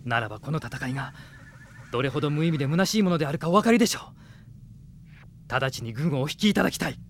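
Noble young rebel leader, radio drama, sincere and determined, slightly high register. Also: male voice, expressive, quick pace, a little hiss or hum. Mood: impassioned appeal. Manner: sincere, resolute, courteous. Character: earnest young noble prince leading a rebel army. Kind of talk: Japanese radio drama acting.

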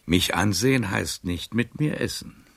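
An actor in a radio drama playing a proud, bitter man over seventy with a theatrical, world-weary delivery and a gravelly voice. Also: fairly low pitch, unhurried, a bit bright, exaggerated voice. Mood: wistful.

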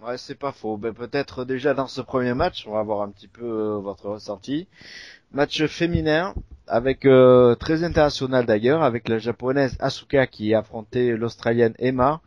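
A man's voice: mid-range voice, moderate pace, darkish tone, no room sound, muffled recording. A man with a southwestern French accent hosting a casual podcast, chatty and full of filler sounds. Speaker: podcast host.

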